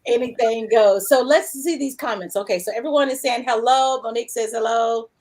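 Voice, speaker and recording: A woman's voice: a little high, fairly quick, a little mellow. A woman hosting a casual livestream interview over a video call, chatty and rambling as she reads out viewer comments. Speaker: show host.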